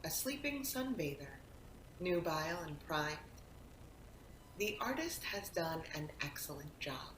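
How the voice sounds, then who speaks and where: A woman reciting poetry expressively, in a deliberate manner with dramatic pauses. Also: sing-song. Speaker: poetry reader, actor. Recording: roomy echo, faint rumbling background noise.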